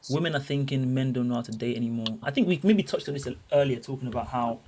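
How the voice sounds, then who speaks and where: A man's voice: medium pitch, fast talker, darkish tone, a little hiss or hum. A man hosting a casual livestream group chat, chatty and apologetic in his asides. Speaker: livestream host.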